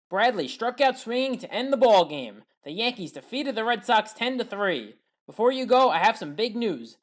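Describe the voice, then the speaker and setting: Female sports highlights narrator, medium pitch, scripted play-by-play recap, clipped and matter-of-fact. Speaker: sports highlights commentator and channel host.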